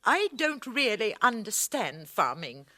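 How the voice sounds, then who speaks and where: An actress playing an outspoken, protective village widow in a radio drama, impassioned and blunt. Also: female voice, mid-range voice, sing-song, measured pace, bright, forceful tone, exaggerated voice. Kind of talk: 1980 radio drama acting.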